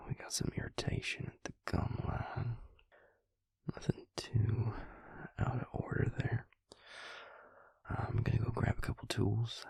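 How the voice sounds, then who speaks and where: A man playing a devious vampire posing as a suave dentist in an intimate audio roleplay, talking softly and reassuringly to the listener in a breathy, gravelly half-whisper. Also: fairly low pitch, no echo, clean studio sound, full character voice. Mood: soothing, clinical. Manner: soft-spoken, slow, reassuring bedside patter. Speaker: dentist character. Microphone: close, dry microphone.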